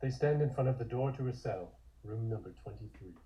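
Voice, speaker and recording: Male narrator, very soft dark tone, measured, scripted stage directions, mid pitch. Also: lo-fi sound.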